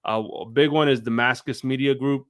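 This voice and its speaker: A man's voice: mid-range voice, steady tone, a little fast, smooth, dry recording. A man speaking informally as a guest on a show, a video creator explaining things in casual chat.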